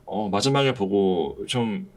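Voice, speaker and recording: Low-voiced man hosting a casual podcast. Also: slow pace. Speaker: podcast host. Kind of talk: casual podcast discussion of a play.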